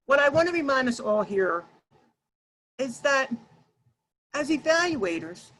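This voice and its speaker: High-voiced woman presenting, noisy call audio. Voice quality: loud, projecting voice. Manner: addresses listeners directly with rhetorical questions. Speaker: workshop leader and Toastmasters speaker. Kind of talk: workshop presentation to an online group. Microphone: video call.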